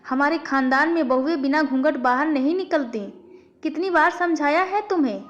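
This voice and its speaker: A woman voicing a sharp-tempered, domineering mother-in-law who scolds and taunts in an audiobook story. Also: sits high, normal speed, highs cut off, natural voice. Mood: angry, scolding. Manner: scolding, accusatory.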